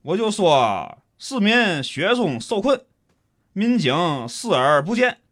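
A man's voice: high-pitched, very animated, slow pace, a bit bright, full character voice. A man acting a stranded commuter in a comic radio drama, whiny, pushy and insistent, with a Tianjin accent. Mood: threatening. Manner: complaining, insistent, colloquial.

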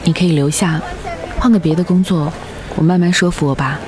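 A woman's voice: lowish voice, fairly even intonation, fairly quick, lightly acted. An actress in a radio drama playing a devoted young girlfriend, speaking in a tender, pleading way. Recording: music behind the voice. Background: background music.

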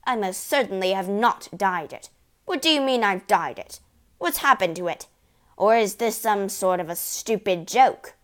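A woman voicing a blustering, indignant middle-aged father in a storybook, shouting in outrage and panic. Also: fairly low pitch, sing-song, measured pace, hardly any pauses. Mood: furious.

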